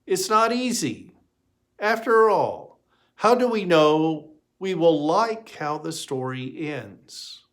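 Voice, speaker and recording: A man's voice: a little high, very animated, slow, a bit bright, voice pushed up, exaggerated voice. A man voicing an exasperated, anxious small-town mayor in a storybook reading, with an indignant, hesitant manner.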